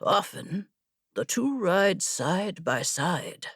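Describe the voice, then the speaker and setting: Woman voicing a creaky, slow-talking old horseman. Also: on the low side, very animated, no room sound, clean studio sound, exaggerated voice. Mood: wry, weary. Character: gruff, weathered, wry old male horseman. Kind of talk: audiobook character dialogue.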